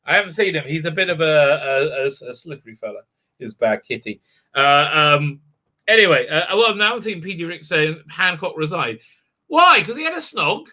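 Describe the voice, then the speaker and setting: Livestream host, man, chatty and rambling, very bright tone. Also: fairly high pitch, big pitch swings, normal speed. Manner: rambling, many fillers and restarts. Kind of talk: casual livestream chat.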